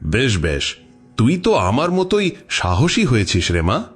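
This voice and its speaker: Casting: middle-aged village exorcist dad male actor, husky, theatrical, hugely animated delivery, protective and bold. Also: medium pitch, measured pace, soft background music, full character voice. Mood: reassuring, protective. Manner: theatrical, emphatic. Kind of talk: dramatised horror cartoon dialogue.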